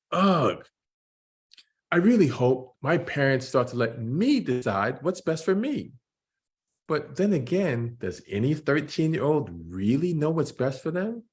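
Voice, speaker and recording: A man acts out a thirteen-year-old schoolboy from a children's graphic novel. The voice is lifted and very animated, with an earnest, anxious, youthful way of talking. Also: fairly high pitch, pitched above the performer's own voice.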